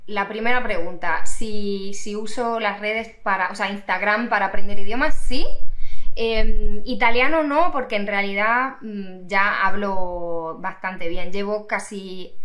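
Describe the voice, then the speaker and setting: A woman's voice: medium pitch, fairly even intonation, a little slow, bright, forceful tone, roomy sound, poor audio. A woman in casual podcast conversation, thoughtful and hesitant, with many fillers and restarts.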